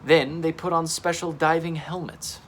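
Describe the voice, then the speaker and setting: A man reading a children's story aloud in an expressive, dramatic storytelling manner. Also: on the high side, moderate pace, slightly rough. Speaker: storytime reader. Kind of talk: animated children's audiobook-style storytelling.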